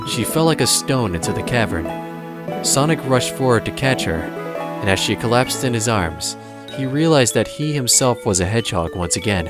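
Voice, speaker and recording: Guy narrating an audio drama, lowish voice, music going behind him. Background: clear background music.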